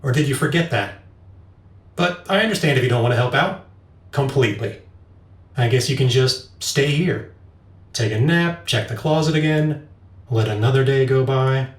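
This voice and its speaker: A man's voice: medium pitch, normal speed, pauses often, close to an everyday voice. A man acting in an audio drama as an earnest, practical, righteous man with a matter-of-fact manner. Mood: earnest, prodding. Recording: roomy echo, music underneath.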